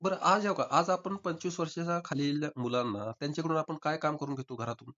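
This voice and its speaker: A man talks very quickly on a recorded phone call with dull, lo-fi sound. He argues his side insistently, repeating points as he goes, in a slightly high voice. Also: fairly bright tone. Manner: insistent, argumentative, repeating himself. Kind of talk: informal debate.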